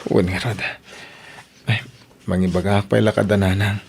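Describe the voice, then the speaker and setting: A man's voice: lowish voice, level tone, normal speed, creaky, a little mellow, husky, full character voice. A man playing an apologetic, hard-drinking husband and son in an Ilocano radio drama. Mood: sheepish, regretful.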